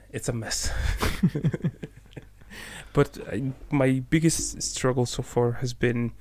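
Relaxed man, Arabic first language. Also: mid-range voice. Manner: relaxed, laughs often, measured with pauses. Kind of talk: casual conversation.

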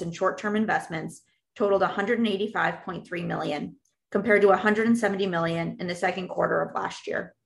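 A woman presenting company financial results as an executive, reading prepared remarks in a formal, measured way. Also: fairly low pitch, creaky, a bit bright. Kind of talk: scripted earnings call presentation.

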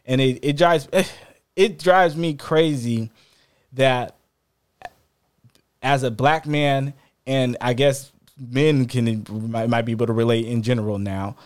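A man co-hosting a casual podcast, talking in an animated, rambling way with many restarts, his voice fairly high. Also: takes it slowly, long pauses. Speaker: podcast co-host.

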